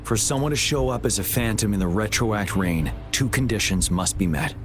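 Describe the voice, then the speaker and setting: An actor playing a stern, dignified army general in a video game cutscene, speaking formally with measured, resolute courtesy. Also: male voice, lowish voice, natural voice. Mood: courteous, formal. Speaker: military commander in a video game. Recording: music behind the voice. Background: game soundtrack music.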